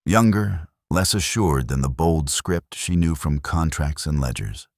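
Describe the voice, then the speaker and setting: A man narrating a romantic western story in a measured, earnest way. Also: rumbling low, lively intonation, no echo, clean studio sound. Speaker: audiobook narrator.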